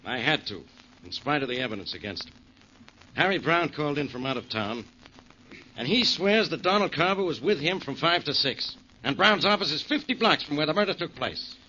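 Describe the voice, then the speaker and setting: A blustering police inspector in a 1940s radio drama. He is curt and exasperated, quick and high-pitched, with a piercing edge on a dull old recording. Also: big pitch swings, pushed and bright, a little hiss or hum. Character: blustering, irritable police inspector, adult man.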